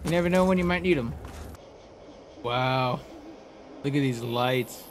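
A man's voice: medium pitch, measured pace. A man giving casual, informal and sweary commentary as he plays a fantasy video game. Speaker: gaming video creator. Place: indoor room, webcam gaming setup. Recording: noisy, game sounds behind voice.

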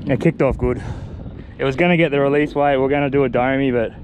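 An Australian man chatting excitedly and casually in slang with a friend while out on a small fishing boat. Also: mid-range voice, fast. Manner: laid-back slang, often laughing and exclaiming. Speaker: fisherman filming a fishing vlog. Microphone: action camera worn on the body. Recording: outdoor boat noise, engine and water.

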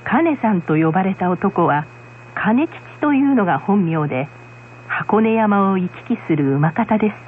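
Measured woman storyteller, muffled radio sound. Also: medium pitch, expressive, slight background noise. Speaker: narrator. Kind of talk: scripted folk-tale narration. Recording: muffled radio recording, music behind. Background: music.

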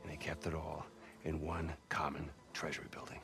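An actor playing a keen, scrappy middle-aged American treasure hunter who explains with enthusiasm, in a cinematic video game. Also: male voice, rumbling low, completely flat delivery, a little fast, gravelly. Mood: excited, explaining. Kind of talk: naturalistic cinematic game dialogue. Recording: music behind the dialogue.

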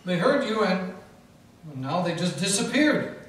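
A man's voice: high-pitched, measured pace. A man playing a brash two-fisted 1940s detective. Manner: brash, wisecracking, animated. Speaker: detective and narrator of the play. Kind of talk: live 1940s-style radio play acting. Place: large historic mansion room with a live audience. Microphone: distant camera microphone. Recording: echoey room from far off, music behind.

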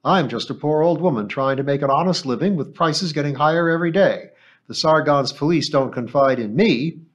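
A man's voice: a little fast, highs cut off, close to an everyday voice. A male audiobook narrator voicing a shrewd, anxious old woman shopkeeper who complains and dodges questions. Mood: defensive. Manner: shrill, complaining, evasive.

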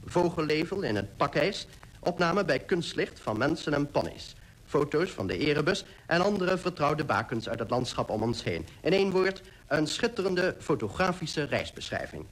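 An actor playing an admiring expedition member, recounting polar sights in a radio play. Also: male voice, a little high, big pitch swings, normal speed, poor audio. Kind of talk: scripted radio drama narration.

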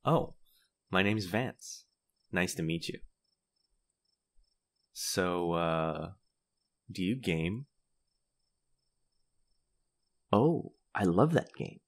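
A man's voice: low-pitched, lively intonation, drawn-out words, pauses often, full character voice. A man playing a grumpy, easily flustered vampire in a comedy audio roleplay, sarcastic and huffy in manner. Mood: friendly, awkward. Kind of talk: comedy ASMR roleplay acting.

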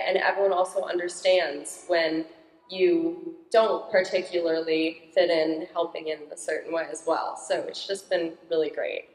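A teenage girl with a naturally loud, upbeat voice speaks into a handheld microphone. Her slightly low voice rings around a large church sanctuary with long reverb. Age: teens. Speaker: young parishioner guest. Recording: echoey church hall.

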